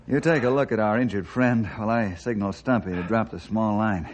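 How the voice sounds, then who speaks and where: A man's voice: lowish voice, fairly quick, natural voice. A man playing an earnest, commanding ranger leader in an old-time radio adventure. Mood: focused. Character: decisive, earnest ranger leader, hero type. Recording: dull, hissy vintage radio recording.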